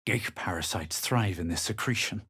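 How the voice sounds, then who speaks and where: Low, haughty young fantasy adventurer. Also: male voice, normal speed, pushed and bright, breathy edge, no echo, clean studio sound, full character voice. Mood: wary, aloof. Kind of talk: dramatic video game voice lines and narration.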